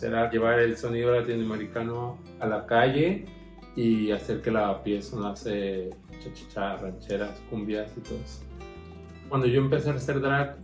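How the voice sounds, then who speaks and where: A man from Mexico speaks in an expressive, conversational interview style. His voice sits at a middle pitch, with noticeable room echo and music playing softly behind him. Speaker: drag queen street performer. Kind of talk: personal interview talk.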